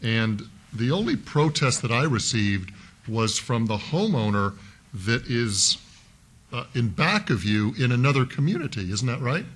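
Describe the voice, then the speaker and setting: A man's voice: medium pitch, very animated, unhurried, fairly bright tone, breathy edge. A man on a city council, questioning an applicant at a public hearing in a folksy, methodical way, speaking into a desk microphone. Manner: folksy, methodical, thinks aloud with fillers. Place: council chambers.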